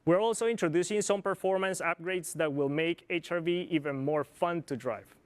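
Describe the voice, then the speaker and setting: A man from Colombia with a Colombian Spanish accent, a product planner giving a measured, scripted corporate presentation. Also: fairly high pitch, clean tone. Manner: measured, informative, occasional slips.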